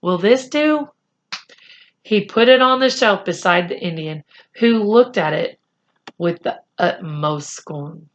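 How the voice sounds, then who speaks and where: A woman reading a children's chapter book aloud in a deliberate, expressive storytelling style. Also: fairly low pitch, lo-fi sound. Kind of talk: read-aloud storytelling narration.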